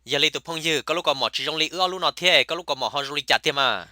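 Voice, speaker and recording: A man voicing a comic male cartoon character who chatters in a rapid, emphatic way. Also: on the high side, bright, forceful tone, no echo. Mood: chatty.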